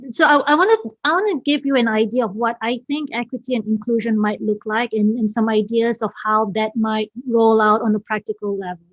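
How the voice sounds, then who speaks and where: A woman from Malaysia hosting an online session and presenting informally, haltingly, repeating phrases and often checking in with the listeners. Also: on the high side, dry recording. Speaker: event host and speaker. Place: online video meeting. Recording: dull, slightly lo-fi online call audio.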